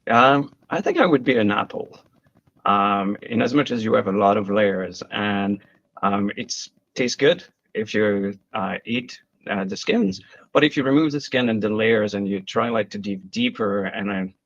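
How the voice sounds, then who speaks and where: Hesitant gravelly Belgian-born man. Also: on the low side, normal speed, fairly bright tone, no echo, lo-fi sound. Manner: hesitant, many fillers. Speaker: guest. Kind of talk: interview answers, casual.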